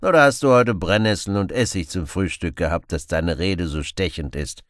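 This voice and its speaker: A man's voice: sits low, normal speed, no room sound, clean studio sound, lightly acted. A man voicing a friendly, fearless young outlaw hero in an audiobook, playful and teasing. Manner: playful, mock-innocent, teasing.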